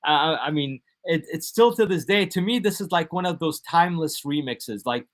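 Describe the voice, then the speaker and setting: A man from the United States, a DJ and producer, talking casually in an interview, relaxed and rambling with many fillers and restarts. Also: fairly high pitch, normal speed. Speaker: guest DJ and producer.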